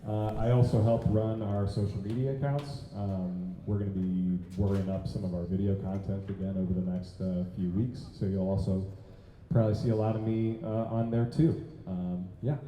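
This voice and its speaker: A man's voice: fairly low pitch, steady tone, moderate pace, mellow. A man, a swim coach, introducing himself at a team meeting in a chatty, friendly way. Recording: dull echoey sound with faint noise.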